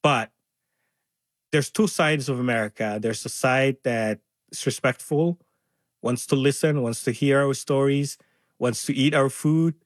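A man's voice: mid-range voice, a little slow, no room sound. A man from Somalia, an interview guest talking earnestly and acting out others' words with animation. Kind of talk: conversational interview.

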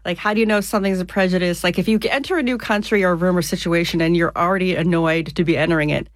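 A woman from the United States, an author being interviewed on the radio, explaining ideas clearly with everyday examples. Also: lowish voice, fairly even intonation, fast, no room sound.

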